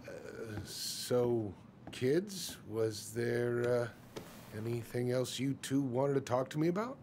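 An actor playing an evasive, flustered middle-aged store boss in a video game, hesitant and fumbling. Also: male voice, mid-range voice, expressive, slow pace, a little mellow, slight background noise. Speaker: shop boss.

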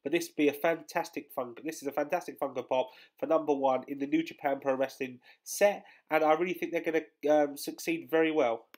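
A man's voice: fairly high pitch, steady tone, a little fast, hardly any pauses, a little mellow. A young man with a congested, under-the-weather voice hosting a collectibles news vlog, chatty and rambling with many fillers. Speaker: collectibles channel host. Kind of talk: casual news vlog voice-over.

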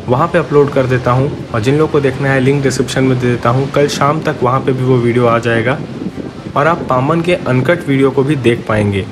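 A man narrating a train journey vlog in a casual, friendly way, talking to his viewers. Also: medium pitch. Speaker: travel vlogger. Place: on a moving train. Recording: train noise behind the voice. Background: train and wind noise.

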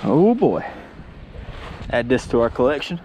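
A man's voice: mid-range voice, very animated, a little slow, noisy background. A man hosting a casual magnet fishing vlog, chatting outdoors by the water. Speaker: magnet fishing video host.

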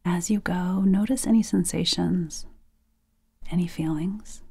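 A woman leading a guided sleep meditation softly and gently, nearly whispering, in short phrases with pauses. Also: fairly low pitch, fairly even intonation. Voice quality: breathy, soft, near-whispered.

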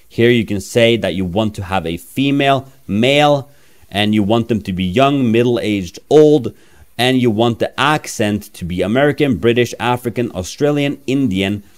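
A man in his thirties with a Norwegian accent talks through a software demo in a casual, self-mocking way. His voice sits fairly low. He jokes easily as he goes. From Norway. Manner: chatty, playful, self-mocking. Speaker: tech reviewer. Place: small room, indoors.

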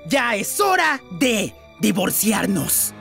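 A performer with a Latin American accent playing a lovesick, emotional demon prince and unhappy husband in an animated fan dub. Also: mid-range voice, slow, gravelly, heavily acted. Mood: fed up, angry. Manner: theatrical, emotional, swinging from purring to outraged. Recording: loud music behind the voice.

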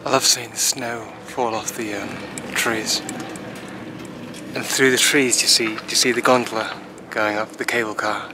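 A man giving relaxed, unhurried vlog commentary outdoors, musing aloud into a camera's built-in mic. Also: on the low side, background noise. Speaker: vlogger filming a ski trip. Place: outdoors on a ski chairlift. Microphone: handheld camera's built-in mic.

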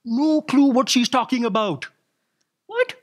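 A man's voice: very high voice, very animated, a little slow, heavily acted. A middle-aged man playing a fussy, matter-of-fact office assistant in a staged audio drama. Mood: puzzled. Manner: theatrical, explanatory. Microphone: stand microphone on a theatre stage.

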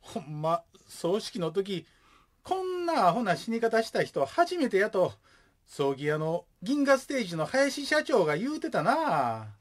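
Animated, joking Kansai father played by a man. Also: high voice, measured pace, exaggerated voice. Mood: exasperated. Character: gruff, joking widowed showbiz father. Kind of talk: comic radio drama.

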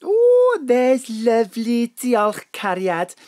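A woman's voice: fairly high pitch, huge pitch swings, takes it slowly, mellow, no room sound, clean studio sound, heavily acted. A woman playing a cheery, muddled, affectionate older Welsh mother with a Welsh accent in an audio drama. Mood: jovial.

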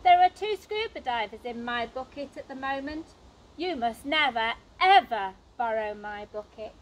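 A woman voicing an eager, imaginative, stubborn little boy in a storybook, with emphatic, drawn-out words. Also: on the high side, expressive, slight background noise, natural voice, poor audio. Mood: indignant.

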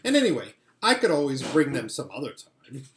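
A man's voice: medium pitch, huge pitch swings, brisk, fairly bright tone, roomy sound, slight background noise. A man voicing a greedy, sulky young boy in a storybook, impatient and pleading. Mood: dismissive.

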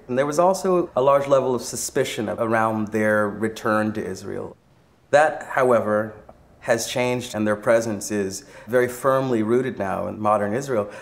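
A man narrating a documentary in a measured, reflective and articulate way. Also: lowish voice. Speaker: visual artist presenting the film.

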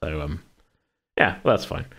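Low-pitched man hosting a casual podcast. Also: moderate pace, vocal fry, a bit bright, slightly rough. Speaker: podcast host and game master. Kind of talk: casual unscripted podcast chat.

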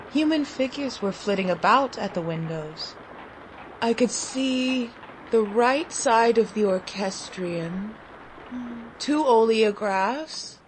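Slow, deliberate woman reading a story. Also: mid-range voice, slight background noise, poor audio. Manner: deliberate, expressive, with long pauses. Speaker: audiobook story reader. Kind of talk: dramatic literary short story narration.

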